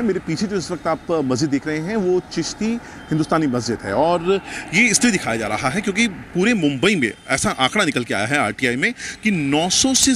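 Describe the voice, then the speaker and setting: A male field reporter speaks to camera outdoors with a very animated, fairly high voice. Faint street and traffic noise sits behind him. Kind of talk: live-style piece to camera. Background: street ambience, vehicles.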